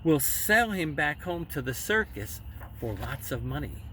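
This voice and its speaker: A man voicing excitable, greedy hunters in a children's storybook, exclamatory and emphatic. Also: on the high side, a little slow, exaggerated voice. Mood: excited, scheming. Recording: distant mic with faint background noise.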